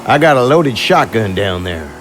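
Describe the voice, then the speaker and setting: An actor playing a steady, reassuring small-town sheriff with folksy turns of phrase in an audio drama. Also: male voice, lowish voice, moderate pace, slight background noise. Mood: cool, commanding.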